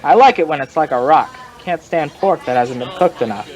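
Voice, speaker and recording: An actor as a folksy, rambling barfly, higher-pitched man. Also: animated, darkish tone, dull audio, lightly acted. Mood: hearty, chatty. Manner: digressive, colloquial, drawn-out storytelling. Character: folksy, rambling male barfly informant telling his story. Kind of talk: old-time radio crime drama acting.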